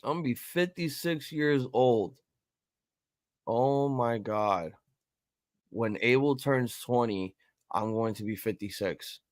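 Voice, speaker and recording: A man in his thirties hosting a live-reaction stream, chatting casually and rambling with profanity and sudden loud excited outbursts. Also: mid-range voice, slow pace, long pauses, dry recording, studio quality. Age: 30s. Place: home room at a table.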